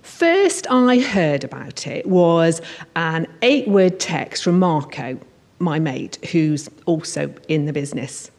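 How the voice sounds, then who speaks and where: Expressive woman reading her fiction on stage. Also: deep voice, takes it slowly. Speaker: writer reading own work on stage. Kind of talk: live literary reading of first-person crime fiction. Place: on stage at a live showcase event in a hall.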